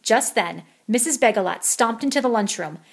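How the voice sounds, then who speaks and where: A woman's voice: fairly high pitch, expressive, normal speed, creaky, husky. A woman reading a children's picture book aloud as a storyteller.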